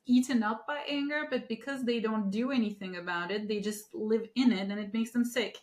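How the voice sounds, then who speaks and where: A woman's voice: a little high, a little fast, a little mellow. Woman host in an echoey room. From Turkey. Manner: earnest, many fillers and rephrasings. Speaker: podcast host. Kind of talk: conversational interview.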